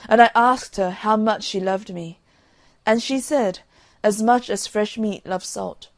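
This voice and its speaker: A woman's voice: medium pitch, a little slow, no echo, natural voice. Woman reading as a sorrowful, regretful father. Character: grieving, regretful father of a grown daughter.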